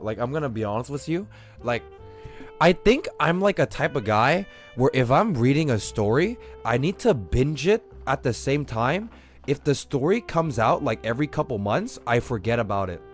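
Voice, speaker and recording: A young man streaming a video game, chatting casually and rambling in slangy, sweary speech. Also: mid-range voice. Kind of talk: casual livestream chat while gaming. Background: game music.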